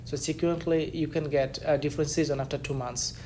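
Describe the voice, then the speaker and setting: A young man from Bangladesh with a slightly higher voice, answering questions in broken, searching English. A faint low rumble sits under the recording. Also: steady tone, a little mellow. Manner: halting, searching for English words. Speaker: student interviewee. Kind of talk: casual interview answers.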